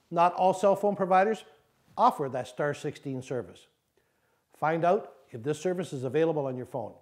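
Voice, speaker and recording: Higher-voiced man narrating safety instruction. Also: darkish tone.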